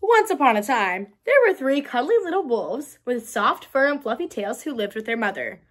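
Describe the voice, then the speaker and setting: A woman narrating a children's storybook aloud in an expressive, deliberate storytelling manner. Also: a little high. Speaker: read-aloud host.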